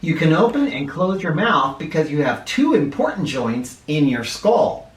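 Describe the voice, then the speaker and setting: A man reading a children's picture book aloud in an expressive, instructive way, speaking directly to young listeners. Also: a little high, measured pace. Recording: roomy echo, distant from the microphone.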